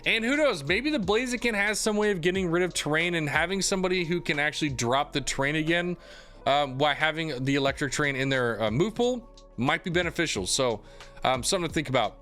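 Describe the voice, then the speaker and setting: A young man, a gaming YouTuber, giving a casual game guide in an upbeat, chatty way with lots of fillers, his voice slightly hoarse. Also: fairly high pitch, animated, brisk, fairly bright tone, soft background music.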